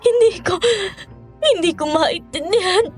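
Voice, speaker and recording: Horror audio drama victim: fearful young woman becoming a monster, extremely high voice, pained, music underneath. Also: animated, measured pace. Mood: pained, tearful.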